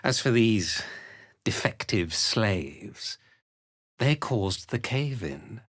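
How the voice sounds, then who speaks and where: An actor playing a cold, condescending cult commander in a video game, speaking in a formal, measured way. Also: mid-range voice, animated, dry recording, studio quality. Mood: haughty contempt. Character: haughty, cold cult commander, disdainful slave-driver and zealot. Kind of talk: video game character dialogue.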